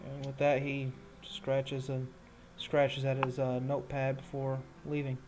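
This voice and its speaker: A man narrating an improvised tabletop game as game master, halting as he searches for words. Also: mid-range voice, monotone, a little mellow, faint music behind.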